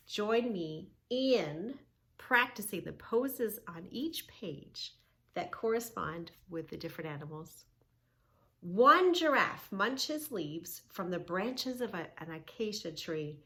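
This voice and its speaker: A woman hosting a children's yoga read-along, teaching in an emphatic, sing-song way with drawn-out key words and questions. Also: mid-range voice, fairly bright tone. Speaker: children's yoga story-time host. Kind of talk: read-along lesson for young children, narrating and instructing.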